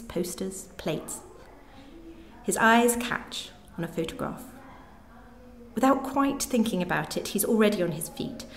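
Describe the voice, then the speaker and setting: A woman's voice: medium pitch, a little mellow. A woman reading a short story aloud as scripted narration. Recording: echoey room with background noise.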